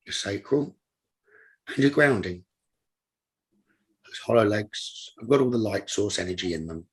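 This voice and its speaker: A man with a lowish, very husky and breathy voice leads a guided meditation. He gives calm instructions in short, unhurried phrases, leaving space between them like a teacher guiding a student inward.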